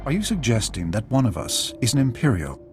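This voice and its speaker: An actor playing a stern, defiant Jedi warrior in a video game, firm and declamatory in delivery. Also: male voice, mid-range voice, sing-song, fairly quick, over music. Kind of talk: video game cutscene acting.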